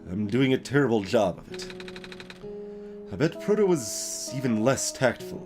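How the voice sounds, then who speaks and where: Man voicing a earnest, hesitant young game character, very expressive. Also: mid-range voice, music in the background. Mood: anxious, grave. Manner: halting, careful, formal wording. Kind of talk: voiced reading of video game dialogue.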